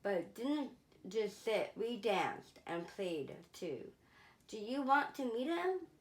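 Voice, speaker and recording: A woman's voice: fairly high pitch, big pitch swings, takes it slowly, reverberant, full character voice, rough recording. A woman voicing an earnest, curious young boy in a children's storybook, with simple questioning phrasing. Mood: eager. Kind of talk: storybook character voice in a read-aloud.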